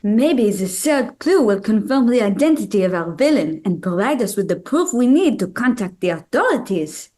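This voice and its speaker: A woman's voice: medium pitch, big pitch swings, moderate pace, few pauses, dark tone. A woman playing a dramatic, determined amateur sleuth in a scripted table read. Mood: grave, hopeful.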